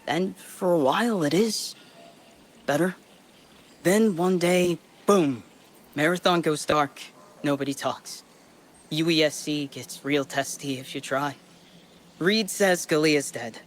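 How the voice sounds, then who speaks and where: A lonely, weary colonist survivor. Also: male voice, on the high side, slow, pauses often. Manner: weary, bitter, rambling confession. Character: lonely male colonist survivor recording a desperate log. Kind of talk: game audio log.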